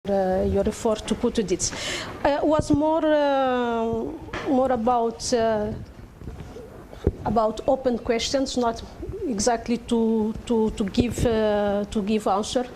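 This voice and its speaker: A woman's voice: on the high side, dark tone, slight background noise, rough recording. Hesitant woman. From Cape Verde. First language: Portuguese. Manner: hesitant, many fillers and restarts. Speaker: conference speaker. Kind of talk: halting conference discussion.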